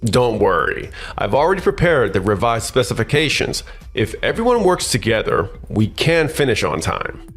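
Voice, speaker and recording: A man's voice: mid-range voice, very animated, normal speed, vocal fry, a bit bright, soft background music, heavily acted. A man playing a scheming, self-important office manager with pushy corporate formality in a manga dub. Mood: assured. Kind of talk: scripted manga dub acting.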